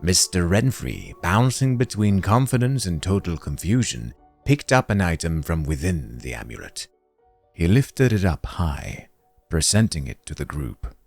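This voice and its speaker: A man narrating a scripted story in a deliberate, dramatic, theatrical storytelling style. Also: low-pitched, very animated, a little mellow, soft background music.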